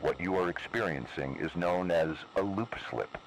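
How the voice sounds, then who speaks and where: A man playing a grave, cryptic emergency announcer delivering deliberate analog horror warnings in a noisy radio-style broadcast. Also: deep voice. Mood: ominous, grave.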